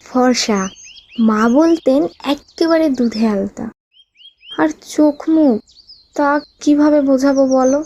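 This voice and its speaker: A woman playing a gentle, shy, wistful invisible young woman of about twenty in an animated love ghost story, speaking intimately. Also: sits high, takes it slowly, pauses often. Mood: affectionate.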